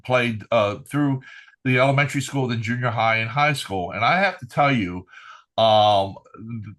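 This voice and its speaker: A man's voice: medium pitch, fairly bright tone. Older man telling stories with many fillers. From Finland. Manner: rambling, many "uh" fillers, good-humored. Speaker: guest, retired military trumpeter. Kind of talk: relaxed podcast interview storytelling.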